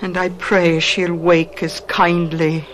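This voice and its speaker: An actress playing a loyal, outspoken housekeeper who narrates earnestly and dramatically in a 1970s radio drama. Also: female voice, lowish voice, flat delivery, slow, slight background noise, rough recording. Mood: gentle. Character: loyal, outspoken housekeeper telling a tragic tale. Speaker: narrator and character.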